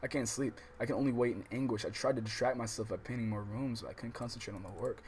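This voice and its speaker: An American man hosts a livestream let's-play in a mid-pitched voice. He reads visual novel narration aloud, stumbling here and there, and reacts in profane, casual slang. A little noise sits behind the voice. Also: steady tone, quick pace, a little mellow. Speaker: livestream host.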